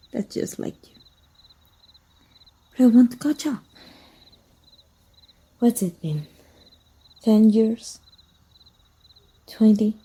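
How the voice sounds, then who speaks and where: A woman playing a teasing, wistful and flirty female vampire hunter with a breathy, husky voice in an intimate ASMR roleplay. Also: mid-range voice, exaggerated voice. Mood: playful teasing. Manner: slow, teasing, softly taunting.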